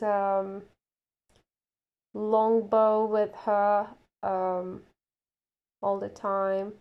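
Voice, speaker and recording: Slow, mellow non-native English-speaking woman. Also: medium pitch. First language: not English. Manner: hesitant, many fillers, giggly. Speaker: player. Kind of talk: casual chat.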